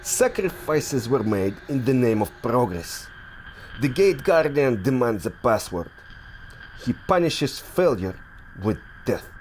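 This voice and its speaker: An actor playing a commanding, fanatical villain in an audio drama, with an imperious, deliberate and theatrical manner. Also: male voice, mid-range voice, sing-song, darkish tone, a little hiss or hum, low-quality recording. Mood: cold, commanding. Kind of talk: scripted audio drama acting.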